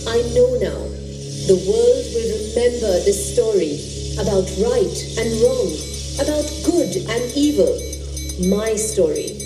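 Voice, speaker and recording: Distant stage sound, actress as proud resolute epic heroine, slightly high voice, slow declamatory monologue. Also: female voice, lively intonation. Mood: resolute, fervent. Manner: declamatory, deliberate. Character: resolute epic heroine awaiting vindication of her honour. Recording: echoey stage recording, distant, music behind. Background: music.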